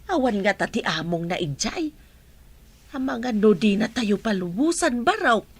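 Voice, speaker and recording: A woman's voice: mid-range voice, big pitch swings, measured pace, husky, heavily acted. A woman with an Ilocano accent acting a fussy, kindly village auntie in a radio comedy drama, full of exclamations. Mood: reluctant.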